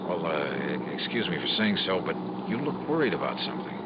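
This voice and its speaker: An actor playing a brash, wry freelance investigator in punchy, hard-boiled 1950s radio style. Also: male voice, sits low, big pitch swings, quick pace, very noisy. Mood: hesitant, coaxing. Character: brash, wry freelance insurance investigator narrating his case. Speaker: lead character and narrator. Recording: dull 1950s radio recording.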